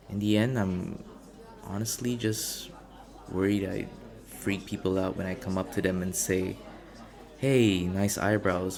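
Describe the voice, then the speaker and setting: A male actor plays a shy, gentle young man in an ASMR coffee shop roleplay. His voice is low and crackles with vocal fry. He talks slowly and hesitantly, rambling earnestly and second-guessing himself like someone anxious around strangers. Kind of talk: ASMR boyfriend audio roleplay, intimate naturalistic acting.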